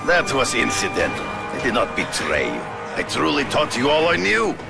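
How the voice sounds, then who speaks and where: An actor playing a proud, honor-obsessed hunter villain in a video game, gravelly, formal and grandiose. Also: male voice, medium pitch, wildly swooping pitch, normal speed, over loud music, full character voice. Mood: menacing, exultant.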